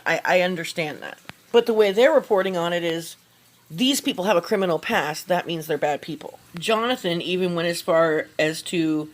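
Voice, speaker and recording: A woman giving casual, opinionated commentary in informal, slangy language as a video creator. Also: fairly low pitch.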